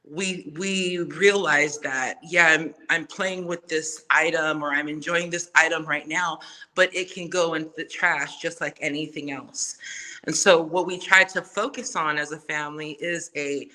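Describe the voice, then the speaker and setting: A woman's voice: low voice, steady tone, measured pace, bright, forceful tone, poor audio. A woman from Samoa, a native Samoan speaker, talking candidly and casually with slang and swearing as a podcast guest. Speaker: podcast guest, music artist. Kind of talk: casual conversational interview.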